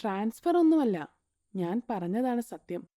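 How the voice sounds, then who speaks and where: A woman's voice: on the high side, sing-song, measured pace, warm tone, no echo, studio quality. A woman doing an earnest young widow.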